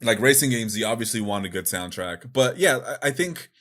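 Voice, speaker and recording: A man chatting casually as a podcast co-host, with an animated, informal manner. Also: medium pitch, brisk. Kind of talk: casual podcast chat about video games.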